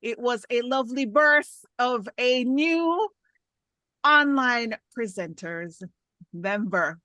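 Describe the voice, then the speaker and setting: A woman's voice: on the high side, animated, slow pace, bright, forceful tone, dry recording. A woman chairing an online club meeting over a video call, making formal, gracious remarks as the floor is handed back to her.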